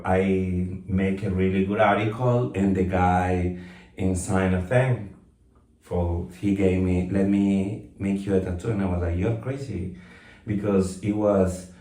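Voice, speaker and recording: A man's voice: deep voice, darkish tone. A man in his fifties from Colombia, a guest talking reflectively with many fillers and restarts in a casual interview. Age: 50s. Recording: roomy echo, mic at some distance.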